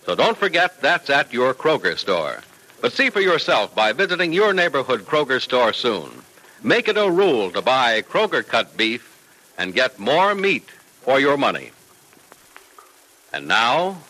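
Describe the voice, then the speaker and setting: A man's voice: medium pitch, very animated, a little slow, bright, forceful tone, poor audio. A man announcing and narrating in a polished, emphatic old-time radio style, on a vintage broadcast recording.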